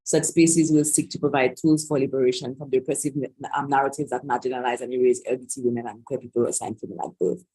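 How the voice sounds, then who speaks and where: A woman's voice: very deep voice, fairly even intonation, fast talker, few pauses, mellow, husky, rough recording. A woman organiser hosting a live online Q&A on a video call, talking loosely with many restarts and asides. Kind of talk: live-streamed online Q&A talk.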